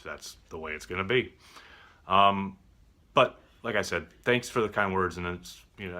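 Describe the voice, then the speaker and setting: A man giving casual sports commentary as a show host, measured and conciliatory in how he responds. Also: low voice, bright, forceful tone.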